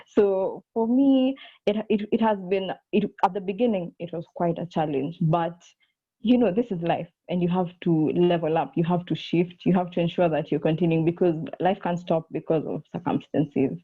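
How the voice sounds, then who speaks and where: A young woman, a law student, telling her experience on a panel in an animated storytelling way. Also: on the low side, normal speed, slightly rough. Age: young adult. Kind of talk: panel discussion, personal story. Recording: tinny phone-like line.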